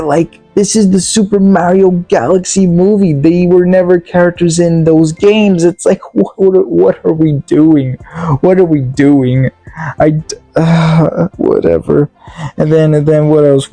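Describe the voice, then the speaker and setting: A man's voice: on the high side, gravelly, warm tone, no room sound, rough recording. A man giving a casual, opinionated review rant in an exasperated, emphatic way, often repeating rhetorical questions. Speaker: movie reviewer.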